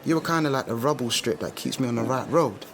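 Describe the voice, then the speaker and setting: A man's voice: medium pitch, animated, fast, slight background noise, lightly acted. An actor playing a confident, street-smart young man with a London accent in a radio drama, slangy and opinionated. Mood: upbeat. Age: young adult. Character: confident young British man, outspoken musician-turned-speaker, street-smart. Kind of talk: naturalistic radio drama dialogue.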